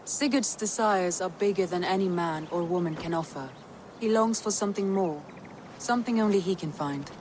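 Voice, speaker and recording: A woman playing a composed, loving Norse stateswoman in a video game, with formal, deliberate and sincere delivery. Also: lowish voice, darkish tone, close to an everyday voice, rough recording. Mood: tender, solemn. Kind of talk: video game dramatic dialogue. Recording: outdoor ambient noise behind voice.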